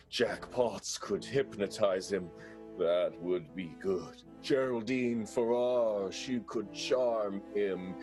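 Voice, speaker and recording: A man playing a jilted, scheming accountant in an improvised radio soap, speaking in a florid, theatrical way. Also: lowish voice.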